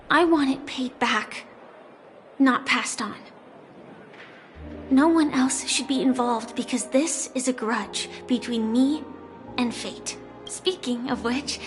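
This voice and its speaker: An actress voicing a quiet young woman on the run in a game, speaking softly and reflectively. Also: sits high. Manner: soft, reflective, hushed. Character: quiet young woman fugitive, soft and melancholic. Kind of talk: game dialogue.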